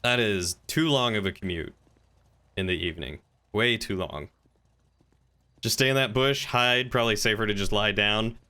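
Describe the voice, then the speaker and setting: Bright-voiced man streaming casually. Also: on the low side, moderate pace, long pauses, smooth. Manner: rambling, thinking aloud, reading chat aloud. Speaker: livestreamer. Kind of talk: casual chat while streaming game roleplay.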